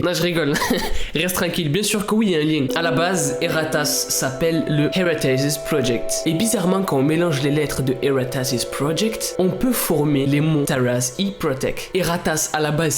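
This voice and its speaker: A young man telling an internet mystery story on his channel in a joking, teasing way. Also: fairly high pitch. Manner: playful, teasing, breaks into laughter. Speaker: YouTuber. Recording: roomy sound with background music.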